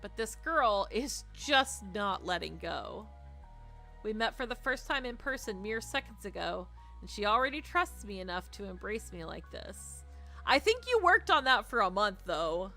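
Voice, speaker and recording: A woman's voice: mid-range voice, sing-song, measured pace, pushed and bright. A woman streamer reading a visual novel aloud expressively, with playful, teasing asides. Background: music.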